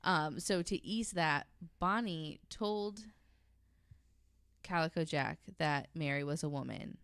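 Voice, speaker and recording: Low, smooth-voiced woman telling a story casually. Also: slow pace, fairly bright tone, no echo, clean studio sound. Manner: chatty, informal, jokey asides. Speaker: podcast guest panelist telling a story.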